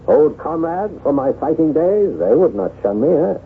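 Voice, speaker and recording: An actor playing a lonely, self-loathing aristocratic former soldier in theatrical, formal, halting radio drama style. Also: male voice, a little high, big pitch swings. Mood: tentatively hopeful. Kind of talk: old-time radio drama acting. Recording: tinny band-limited vintage radio, hissy.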